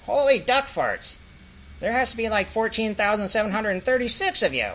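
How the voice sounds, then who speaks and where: A man's voice: high voice, big pitch swings, normal speed, sharp tone, pitched above the performer's own voice, full character voice, rough recording. A worn-out duck-headed orc working the night shift, as a man plays him in a comedy story reading. He grumbles in a slightly raised, bouncy voice that swings up and down, with a hint of background noise. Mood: nervous politeness. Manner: grumpy, says "whack" as a catchphrase. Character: weary duck-headed orc taco stand worker.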